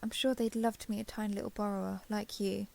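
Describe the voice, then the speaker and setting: A young woman playing a caring giant dragon girl in an intimate audio roleplay, speaking to the listener in a gentle, reassuring, slightly hesitant way. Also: medium pitch, level tone, fast talker, vocal fry, close to an everyday voice. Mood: soft, reassuring.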